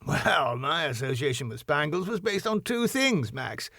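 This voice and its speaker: A man voicing a smooth, middle-aged male club boss who chuckles at his own jokes. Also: fairly high pitch, expressive, measured pace, sharp tone, dry recording, clean studio sound, voice pushed up, full character voice. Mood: smarmy. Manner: oily, laughs at own jokes.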